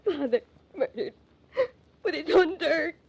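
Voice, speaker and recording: An actress playing a distraught, determined young American woman in an old-time radio drama, earnest and halting. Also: high-pitched, expressive, gravelly, exaggerated voice. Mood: shocked, tearful. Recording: muffled old radio recording.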